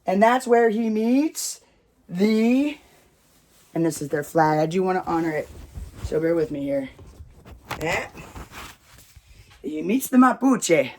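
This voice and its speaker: An American storyteller talks loudly and loosely, drifting off on tangents and dropping casual curses while telling a family story with props. The voice sits at an ordinary middle pitch. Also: expressive, takes it slowly, pauses often. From United States. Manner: loud, rambling, swears freely.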